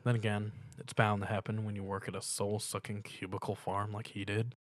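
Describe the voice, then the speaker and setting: A man's voice: lowish voice, level tone, a little fast, dry recording. A man narrating a scripted fan fiction story as a dramatic reading.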